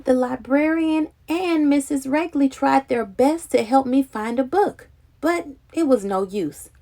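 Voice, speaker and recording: A woman reading a children's picture book aloud in an expressive, dramatic, childlike storytelling manner. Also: high-pitched, a little slow.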